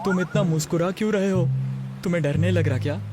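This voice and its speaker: A man playing an anxious, reluctant young friend in an animated story, worried and exclamatory. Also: sits high, fast talker, close to an everyday voice. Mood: alarmed. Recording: voice over background music.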